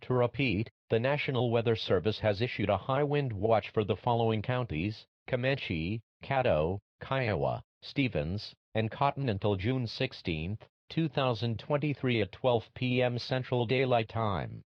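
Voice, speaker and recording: A man's voice: mid-range voice, expressive, a little slow, hardly any pauses, no room sound, close to an everyday voice. A man playing a serious weather alert announcer, reading a scripted emergency bulletin. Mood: measured, serious. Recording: dull, dry lo-fi alert audio.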